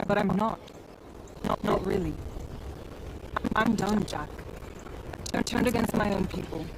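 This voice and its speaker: A woman acts a weary, sardonic young fighter in a fantasy game. Her delivery is naturalistic and hesitant at a middling pitch, under heavy background ambience. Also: a little slow, very noisy, lightly acted. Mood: weary, resolute. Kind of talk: naturalistic video game acting.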